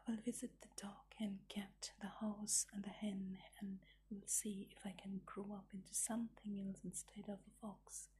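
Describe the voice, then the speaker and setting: Brief: woman whispering a cross little fox cub, storybook, flat breathy hush. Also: medium pitch, moderate pace, hardly any pauses. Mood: scheming. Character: restless young male fox cub.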